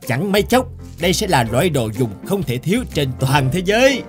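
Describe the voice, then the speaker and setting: A man's voice: fairly high pitch, big pitch swings, fairly quick, over music. An actor playing a boastful male company boss in a cartoon, self-satisfied and salesmanlike. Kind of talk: animated cartoon acting.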